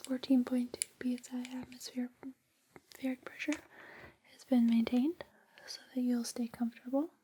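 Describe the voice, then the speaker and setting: Female ASMR roleplay attendant: breathy whisper, soothing and reassuring, even intonation, faint noise and clicks behind. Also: high voice. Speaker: ASMR host playing a space suit fitting attendant.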